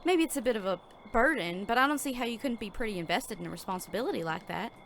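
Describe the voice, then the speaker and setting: Earnest, higher-voiced young deputy. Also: female voice, lively intonation, fast, creaky, a bit bright, a little hiss or hum. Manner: earnest, hesitant. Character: earnest, naive, dutiful young sheriff's deputy. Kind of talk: Western audio drama acting.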